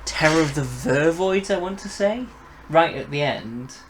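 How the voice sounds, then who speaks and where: A man's voice: fairly high pitch, expressive, a little slow, a little hiss or hum. A man co-hosting a casual, enthusiastic review conversation. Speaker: podcast co-host reviewing audio dramas.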